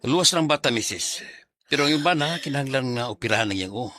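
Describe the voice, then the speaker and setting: A man's voice: medium pitch, expressive, fast, bright, forceful tone, no echo. A Visayan Filipino man playing a sober, formal hospital doctor in a radio drama. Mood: grave. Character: grave male hospital doctor delivering news, formal, sober. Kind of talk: Bisaya radio drama acting.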